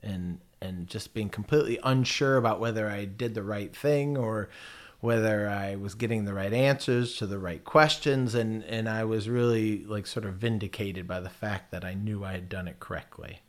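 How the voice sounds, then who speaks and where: A man's voice: lowish voice, normal speed. An American man with a noticeable lisp, talking casually to his viewers in a rambling, profane, self-mocking way. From United States. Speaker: vlogger. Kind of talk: casual chat.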